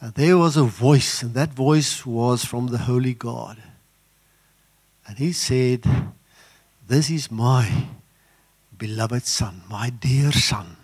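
An older man, an Afrikaans speaker, preaching a sermon in an earnest, halting way with many fillers. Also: mid-range voice, a little mellow, breathy edge. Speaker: guest preacher.

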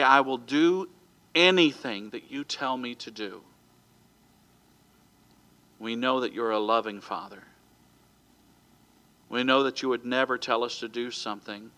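A pastor from America preaches in an earnest, steady way, his voice bright and forward. He sometimes stumbles and restarts a phrase before carrying on. His pitch sits in an ordinary middle range for a man. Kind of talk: sermon ending in prayer. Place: church sanctuary.

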